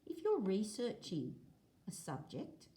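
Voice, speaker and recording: A woman reading aloud as a teacher, explaining in a measured way, phrase by phrase. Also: mid-range voice, huge pitch swings, mellow. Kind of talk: read-aloud lesson of a non-fiction picture book. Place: indoors at a table, filming a book.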